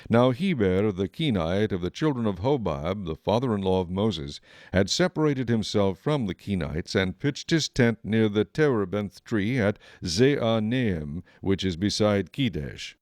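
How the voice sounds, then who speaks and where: Male narrator, low pitch, expressive range, solemn storytelling, scripted Bible dramatization, dry studio-like sound. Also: a little slow, no echo.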